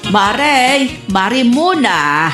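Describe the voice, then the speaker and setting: A woman's voice: a little high, very animated, drawn-out words, full character voice. A woman playing a gossipy neighbor in an Ilocano comedy radio drama, speaking with an Ilocano accent. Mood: prying. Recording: roomy echo, faint music behind.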